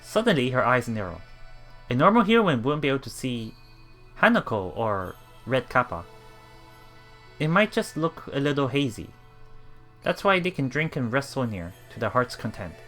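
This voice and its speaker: A man's voice: mid-range voice, very animated, normal speed, long pauses, a bit bright, soft background music. A man reading a game aloud as a let's play streamer, reacting casually and repeating phrases as he puzzles things out.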